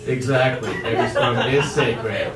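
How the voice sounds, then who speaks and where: A young man from Japan performing amateur stand-up comedy on a theater stage with a handheld mic, in an emphatic, halting way that repeats phrases. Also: medium pitch, recorded from a distance, a loud crowd. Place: theater stage before a live audience. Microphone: handheld wired microphone. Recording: echoey stage mic, heard from afar.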